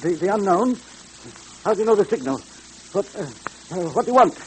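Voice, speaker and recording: Jittery higher-pitched crook. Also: male voice, animated, a little fast, darkish tone. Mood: frightened, stammering. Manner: stammering, anxious. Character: nervous male crook, jittery and cowardly. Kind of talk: old-time radio drama acting. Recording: noisy old radio recording, hum.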